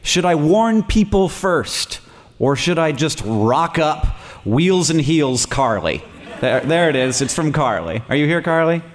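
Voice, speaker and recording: A man's voice: a little high, sing-song, measured pace. A man in his late thirties with an American accent, hosting a live podcast in front of an audience, telling stories with self-deprecating humour and frequent laughs. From United States. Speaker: podcast host. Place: stage before a live audience.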